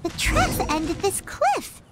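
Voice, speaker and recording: Excitable childlike game companion, piercingly high, very animated delivery, squeaky, exclamatory. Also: female voice, measured pace, noisy background, heavily acted. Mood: curious, alarmed. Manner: exclamatory, speaks of herself by name. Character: tiny floating childlike girl companion, excitable and chirpy. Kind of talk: video game voice acting. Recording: background music under the voice.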